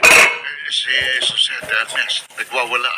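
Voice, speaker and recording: A man's voice: medium pitch, fairly even intonation, slow pace, lightly acted. A man with a Filipino accent playing a helpless household helper, subdued and hesitant, in a radio drama. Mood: helpless, worried. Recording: very noisy, clattering radio-drama scene.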